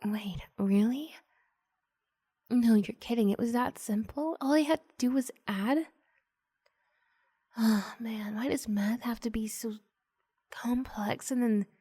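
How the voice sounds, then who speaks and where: A woman voicing a shy, flustered, adoring female student in an ASMR roleplay, hesitant and gushing in breathy half-whispers. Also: on the high side, dark tone. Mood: amazed, admiring.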